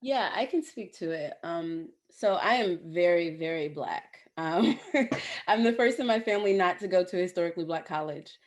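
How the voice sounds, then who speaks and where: Lower-pitched, animated woman on a panel, laughing easily between points. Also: normal speed. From southern United States. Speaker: alumni panelist. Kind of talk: candid panel answer. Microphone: video call.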